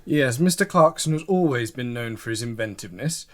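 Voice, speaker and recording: Man playing a very animated, sceptical news presenter. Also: mid-range voice, a little fast, natural voice. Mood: politely formal. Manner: formal, incredulous questioning. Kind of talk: mock broadcast news interview.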